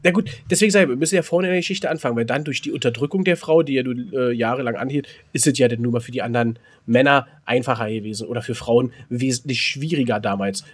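A man's voice: mid-range voice, fast talker, few pauses. A German man co-hosting a podcast in casual, unscripted banter, speaking in a blunt, colloquial way with crude slang. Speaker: podcast co-host.

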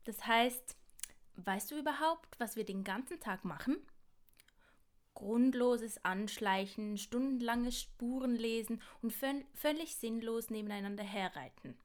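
A young woman from Switzerland with a Basel accent, co-hosting a casual podcast over a remote call and speaking hesitantly with laughs and apologies about her German. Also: a little high. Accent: Basel Swiss German. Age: young adult. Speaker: podcast co-host. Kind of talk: casual chat.

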